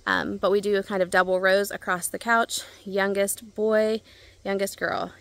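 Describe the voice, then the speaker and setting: A woman's voice: mid-range voice, little pitch movement, unhurried, dry recording. A woman in her thirties casually explaining her laundry routine in a backyard vlog, recorded on a handheld phone outdoors. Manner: chatty and practical, with frequent ums and self-corrections. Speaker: homeschooling mom vlogger. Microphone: phone held at arm's length.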